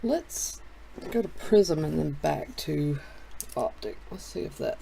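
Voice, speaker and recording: Gravelly, mellow-voiced middle-aged man. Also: a little high, takes it slowly, slight background noise, rough recording. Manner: relaxed, chatty, thinking aloud. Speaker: card shop host. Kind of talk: casual chat while opening card packs.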